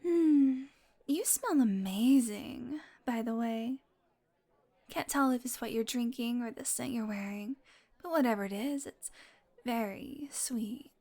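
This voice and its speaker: Woman acting a high-voiced, teasing vampire woman. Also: takes it slowly, dark tone. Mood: flirty, playful. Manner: sardonic, teasing, casually swearing, slipping into breathy murmurs. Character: teasing, possessive female vampire, sardonic and flirtatious. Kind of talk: intimate audio roleplay addressed to the listener.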